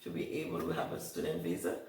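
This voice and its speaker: A woman's voice: rumbling low, steady tone, fast, a little hiss or hum. A middle-aged woman from Haiti, a guest telling her story with many fillers and frequent laughter. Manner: warm storytelling with hesitant fillers, laughs often. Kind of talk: casual interview talk. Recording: roomy sound from a distance, faint ambience.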